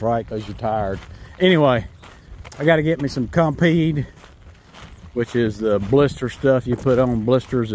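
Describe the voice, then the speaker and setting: A man's voice: mid-range voice, darkish tone, slight background noise. A man from North Carolina with a Southern American accent, rambling in a folksy, self-amused way as he vlogs while hiking. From North Carolina, USA. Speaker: hiker vlogger. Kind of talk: casual walking vlog. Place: outdoors on a rural trail.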